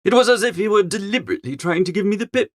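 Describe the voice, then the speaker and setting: A man playing a flustered, foppish young English gentleman who narrates a comic audiobook in a chatty, melodramatic, exasperated way. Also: high voice, lively intonation, rapid-fire, dry recording, studio quality. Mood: agitated.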